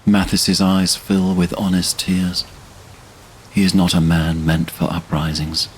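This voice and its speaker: Very deep, slow-paced male narrator. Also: level tone, a little hiss or hum, rough recording. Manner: solemn, measured, dramatic. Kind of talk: literary fantasy audio drama narration.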